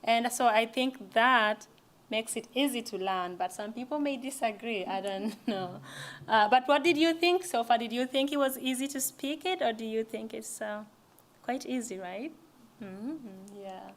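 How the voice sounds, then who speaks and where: A woman's voice: fairly high pitch, measured pace. A young woman giving a casual library lecture, talking conversationally with frequent restarts. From East Africa. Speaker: language presenter.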